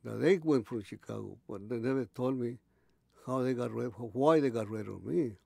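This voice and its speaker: Gravelly man in his eighties, halting. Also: medium pitch, warm tone, no echo, studio quality. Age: 80s. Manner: halting, many restarts and pauses. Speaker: interviewee. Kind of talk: oral history recollection.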